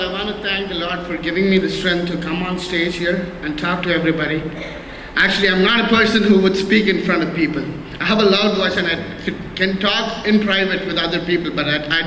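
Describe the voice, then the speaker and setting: Man, 30s, church testimony, halting and earnest; bright tone, long hall echo. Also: sits high. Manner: halting, many restarts and repeated words; earnest and plain. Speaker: church member giving testimony. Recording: echoey hall with faint noise.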